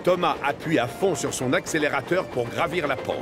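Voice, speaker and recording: A man's voice: a little high, a little fast. Male storyteller over music. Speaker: narrator. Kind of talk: scripted storybook narration for a children's cartoon.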